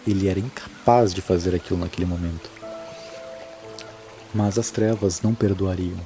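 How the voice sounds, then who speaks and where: A man's voice: lowish voice, warm tone. A Brazilian man narrating a scripted horror audio drama. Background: music.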